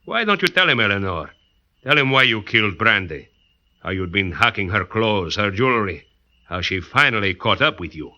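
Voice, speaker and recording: A man's voice: fairly low pitch, very animated, moderate pace, bright, forceful tone, full character voice. An actor playing a tough, sardonic crime-story man, blunt and wisecracking, in 1940s radio noir style. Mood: accusing. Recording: muffled old 1940s radio recording.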